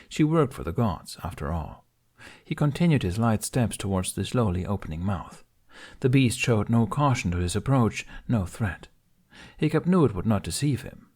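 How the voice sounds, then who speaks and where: Low, husky male story narrator. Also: a little mellow. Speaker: audiobook narrator. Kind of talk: dramatic scripted story narration.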